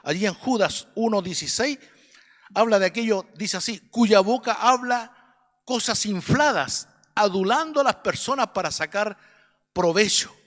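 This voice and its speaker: A man's voice: high-pitched, animated, normal speed, fairly bright tone, in a large hall. A man with a Chilean accent teaching an adult Sunday school class, earnest and preachy, often checking that listeners agree. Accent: Chilean Spanish. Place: church classroom.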